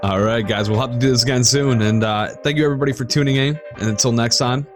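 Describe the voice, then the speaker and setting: A man's voice: medium pitch, fast, over music. An American man hosting a podcast with enthusiastic, slangy, casual talk. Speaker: podcast host. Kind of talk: casual chat.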